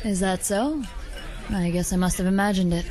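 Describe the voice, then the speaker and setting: A woman voicing a polite, gentle female werewolf dancer who is secretly an assassin, in a hobby audio drama. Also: mid-range voice, normal speed, soft background music, natural voice. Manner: polite, gentle, softly coaxing.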